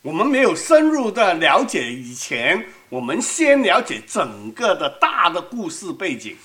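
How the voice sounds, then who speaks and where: Woman preacher with a Cantonese accent, lively and conversational, pauses for her interpreter. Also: lowish voice. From Hong Kong. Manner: conversational, asks the audience questions. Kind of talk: sermon with live interpretation.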